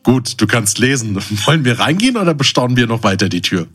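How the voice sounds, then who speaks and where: A man's voice: mid-range voice, big pitch swings, fast talker, a bit bright, husky, soft background music. A man playing a brash, cheeky buddy in a comedy audio drama, talking casually in teasing slang.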